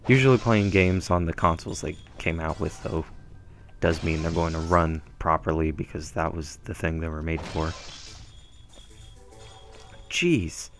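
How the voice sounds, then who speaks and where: Young male let's-player, deep, chatty and meandering, headset mic at home. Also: fairly even intonation, measured pace, pauses often, soft background music. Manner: rambling, filler-heavy, self-deprecating. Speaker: gameplay commentator. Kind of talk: casual gameplay commentary. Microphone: gaming headset mic.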